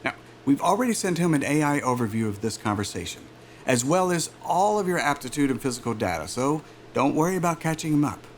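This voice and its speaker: A man's voice: fairly high pitch, lively intonation, fairly quick, slight background noise, lightly acted. An actor playing a chummy, persuasive aerospace executive interviewer in a cinematic audio drama, with a chatty, polished salesman manner. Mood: brisk, polished. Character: smooth, glib male aerospace executive recruiter, chummy and persuasive.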